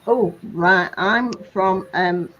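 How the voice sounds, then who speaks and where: A woman's voice: mid-range voice, takes it slowly, a bit bright, lo-fi sound. A woman from England with a Northwest English accent, chatting easily on a video call. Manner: easygoing, laughs often. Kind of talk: casual video chat.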